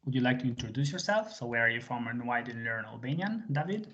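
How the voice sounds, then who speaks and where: A man's voice: mid-range voice, animated, fast, a bit bright. Patient man teaching over a video call. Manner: patient, switches between English and Albanian, frequent fillers. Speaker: language teacher. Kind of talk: live online group lesson. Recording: slightly muffled video call audio.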